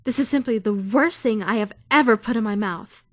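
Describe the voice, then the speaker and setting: A woman's voice: on the high side, brisk, no echo, clean studio sound, natural voice. A woman playing a prim, bookish young student who is easily indignant, in a fan-dubbed visual novel with formal, theatrical delivery. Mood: disgusted. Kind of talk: first-person visual novel fan dub narration and dialogue. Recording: music behind the voice.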